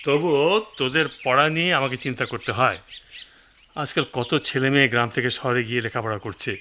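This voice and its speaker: A man's voice: mid-range voice, steady tone, brisk, noisy background, natural voice. A man acting a stern, old-fashioned, middle-aged village father in a radio drama, scolding and complaining with a Bangladeshi accent. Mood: nagging.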